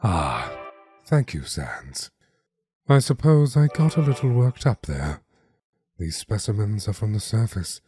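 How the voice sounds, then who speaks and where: Man voicing a deep, theatrical, brooding skeleton scientist. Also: sing-song, measured pace, warm tone. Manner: precise, theatrical, formal diction. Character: obsessive, brooding male skeleton scientist, learned and formal. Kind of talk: dramatic comic-dub voice acting. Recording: music behind the voice.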